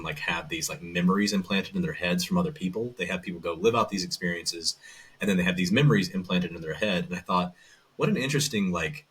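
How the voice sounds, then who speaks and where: A man's voice: sits low, a bit bright, slightly rough. A man from the American South, a voice actor and audiobook narrator, telling his story as a guest in a casual chat with lots of restarts. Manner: rambling, loose storytelling with many restarts.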